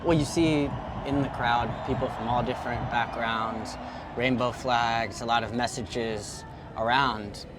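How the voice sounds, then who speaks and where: A man hosts a relaxed, casual conversation and lets his guest talk, with easy agreement and friendly follow-up questions. His voice sits at an ordinary middle pitch. Steady background noise runs under the location recording. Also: unhurried. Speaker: host. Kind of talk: casual interview chat.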